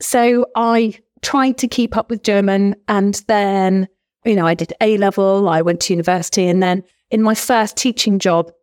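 A woman's voice: medium pitch, a little mellow. A woman from England in her forties, a former language teacher, chatting enthusiastically as an interview guest and laughing often. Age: 40s. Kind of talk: casual interview chat.

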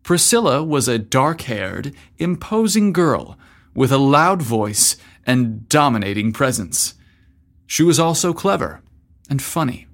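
A man hosting a story podcast, narrating from a script in a deliberate, emphatic way. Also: medium pitch, fairly bright tone.

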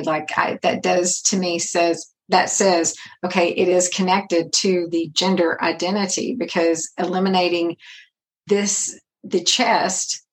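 A woman's voice: lowish voice, poor audio. A woman hosting the conversation, a counselor who affirms and explains. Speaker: host and gender counselor. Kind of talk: supportive interview conversation.

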